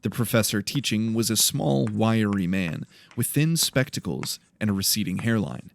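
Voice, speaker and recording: A man's voice: on the low side, animated, normal speed, creaky. A man narrating dramatic fiction from a script as an audiobook storyteller. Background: faint music.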